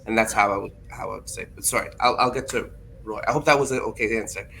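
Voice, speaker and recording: A man with a fairly low voice and a gravelly, fry-laden texture, with a bright, forceful edge on top. He thinks out loud in a casual podcast chat, pausing and restarting as he searches for his words. His voice has a teacher's ease with explaining things. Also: slight background noise. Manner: thoughtful, hesitant, self-correcting.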